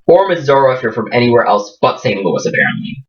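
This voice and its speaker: A man's voice: mid-range voice, big pitch swings, quick pace, distant mic, highs cut off. A fifteen-year-old boy with a Midwestern American accent and a slight Indian lilt, chatting casually and poking fun at himself in a vlog recorded in a small room. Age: teens. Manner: chatty, self-mocking, jokey asides. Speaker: vlogger.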